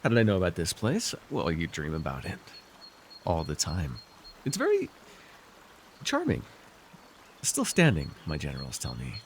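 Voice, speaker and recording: A man's voice: very deep voice, huge pitch swings, normal speed, long pauses, a little hiss or hum, full character voice. A man playing an arrogant, seductive fantasy warlord in an intimate roleplay, teasing and theatrical as he speaks to one listener. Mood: smug, gloating. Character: arrogant, seductive male fantasy villain warlord, dream-wielding conqueror. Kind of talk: immersive ASMR fantasy roleplay acting.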